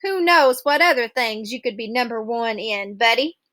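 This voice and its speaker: A woman's voice: fairly high pitch, normal speed, sharp tone, voice pushed down. A woman voicing a whiny, sneaky twelve-year-old boy who plays the pitiful victim in a storybook. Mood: smug. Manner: gasping, whimpering, self-righteous.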